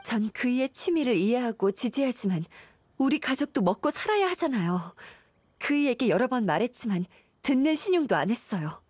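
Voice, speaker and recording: A woman's voice: on the high side, sing-song, normal speed, a little mellow, husky, no echo. An actress playing a weary, apologetic wife and mother burdened by debt in a video game, speaking politely and plaintively. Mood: reproachful. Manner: polite, plaintive, earnest storytelling.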